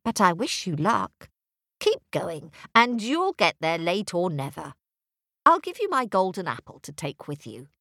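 A woman puts on a gentle, kindly old woman from a fairy tale, speaking low and unhurried. The voice is a little lower than her usual and sounds close and dry. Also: wildly swooping pitch, no room sound, full character voice.

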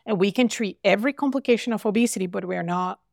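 A woman's voice: mid-range voice, quick pace, creaky, no echo, clean studio sound. A middle-aged woman from Brazil, a physician guest speaking earnestly and emphatically in conversation, with frequent fillers. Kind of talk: conversational interview.

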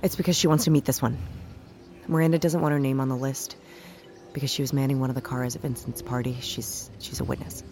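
A woman's voice: a bass voice, flat delivery, fast talker, noisy background. An actress playing a desperate woman in an audio drama, pleading with breathless, insistent urgency. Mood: hushed urgency.

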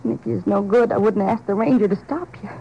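An actress playing a weary, devoted wife with a rural American Southern accent, plaintive and plain-spoken, in a 1950s radio drama. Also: female voice, medium pitch, quick pace, gravelly, breathy edge, highs cut off. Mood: tender pleading. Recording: old 1950s radio broadcast recording.

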